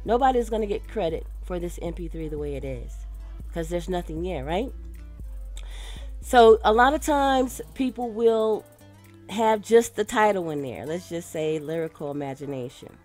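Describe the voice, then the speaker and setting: A woman's voice: lowish voice, animated, moderate pace, a little mellow. Casual woman giving a tutorial over music. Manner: informal, instructive, addressing listeners directly. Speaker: radio DJ giving a software tutorial. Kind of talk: casual screen-recorded tutorial. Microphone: voice-over for a screen recording.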